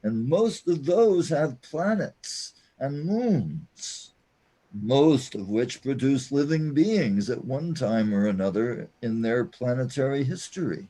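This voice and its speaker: Man as a slow, swooping, all-knowing companion. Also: medium pitch, animated, dark tone, slightly rough. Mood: grandly explaining. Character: patient, all-knowing male companion explaining the cosmos. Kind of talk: scripted audio drama acting.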